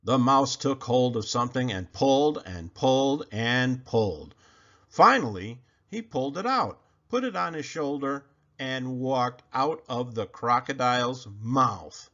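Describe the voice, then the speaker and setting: A man reading a children's picture book aloud in an expressive, animated storytelling manner. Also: medium pitch, a little slow. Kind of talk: children's read-aloud narration. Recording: slightly muffled recording.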